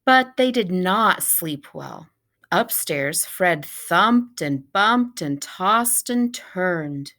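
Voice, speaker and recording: A woman reading a children's picture book aloud in a deliberate, measured way, like a teacher reading to pupils. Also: fairly low pitch, expressive, a bit bright. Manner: deliberate, measured storybook delivery.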